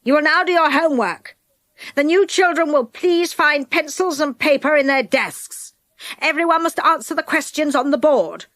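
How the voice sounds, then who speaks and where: A woman's voice: high-pitched, moderate pace, a bit bright, no room sound, voice pushed up. A woman doing a stern old schoolmistress.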